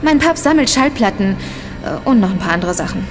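A woman playing an earnest, sharp young amateur detective in an audio drama, direct and concerned. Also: mid-range voice, expressive, brisk, close to an everyday voice. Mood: thoughtful. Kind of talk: German audio drama acting. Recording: reverberant, music underneath.

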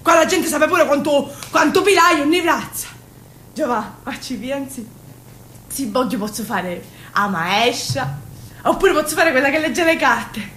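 A woman's voice: animated, unhurried, fairly bright tone. An actress playing a brash, dreamy young Sicilian woman, poor and yearning, in an emotional theatrical monologue that swings between confiding and exclaiming. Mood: restless, pleading. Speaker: stage actress. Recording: roomy sound, faint low rumble.

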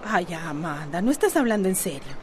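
An actress playing an anguished but resolute Costa Rican wife in a radio soap opera. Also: female voice, fairly low pitch, big pitch swings, brisk, a little mellow, slight background noise. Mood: confiding, weary. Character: anguished, resolute wife standing up to abusive husband.

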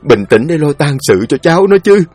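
A man voicing a calm older neighbor who soothingly urges a grieving father to stay steady. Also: fairly high pitch, normal speed, slightly rough, dry recording, soft background music, voice pushed up.

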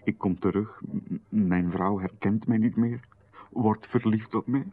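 An actor playing a polite, nervously apologetic young artist in a radio drama, hesitant and stammering. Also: male voice, on the low side, steady tone, measured pace. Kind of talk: 1990s radio drama acting. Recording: lo-fi radio broadcast, phone-like band.

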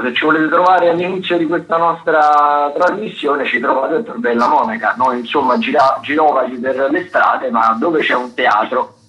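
A man's voice: fairly high pitch, a bit bright. A man presenting cultural listings on the radio in a spontaneous, digressive way, often searching for words. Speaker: theatre critic and agenda presenter. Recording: dull, lo-fi radio sound.

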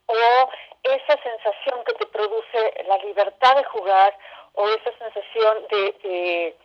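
A Mexican woman speaking as an interview guest, an arts educator explaining her work conversationally and building her points through lists and repetition. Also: fairly high pitch. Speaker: guest, arts educator and theatre company director. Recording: muffled, band-limited phone call.

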